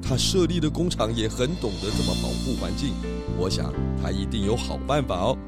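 A man's voice: on the low side, very animated, brisk, loud music behind the voice. A man voicing a clever, food-loving male pig detective in a children's radio drama, stammering a little when he tries to dodge work. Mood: lecturing.

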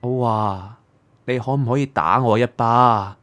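A man's voice: medium pitch, a little slow, a bit bright, close to an everyday voice. A man with a Hong Kong Cantonese accent acting a bewildered, naive young man in a radio drama, speaking hesitantly. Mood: pleading, confused. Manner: hesitant, stammering. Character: bewildered young man lost in a spirit world, pleading and naive. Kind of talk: radio drama acting with first-person narration. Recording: music behind.

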